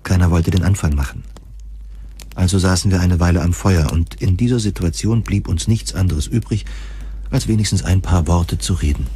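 A man's voice: low-pitched, normal speed, warm tone, slight background noise. A man narrating a scripted first-person science fiction story for an audio drama.

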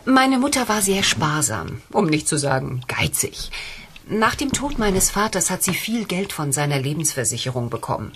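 An actress playing a bereaved middle-aged client and friendly hostess in a German audio drama. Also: female voice, lowish voice, very animated, normal speed, fairly bright tone, slight background noise. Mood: matter-of-fact.

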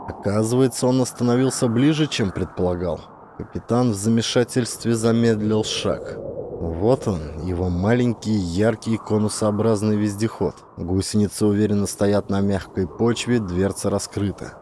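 A man's voice: on the low side, normal speed, noisy background. A man reading scripted fiction as a dramatic audiobook narrator.

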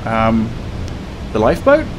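A man's voice: lowish voice, huge pitch swings, unhurried. A man in his thirties giving casual, wryly understated commentary as host of a gameplay video. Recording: very noisy game ambience behind.

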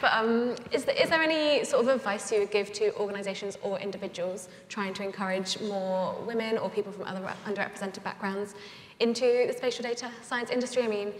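A woman's voice: mid-range voice, slight background noise. Woman moderating a panel in a hall. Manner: friendly, brisk, keeps time. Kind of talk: live panel discussion. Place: large conference hall stage. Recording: roomy hall with long reverb.

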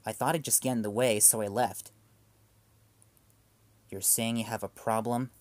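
A man voicing a stern, bossy older sister who scolds in a cold, flat way. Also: fairly low pitch, moderate pace, dry recording, voice pushed down, natural voice.

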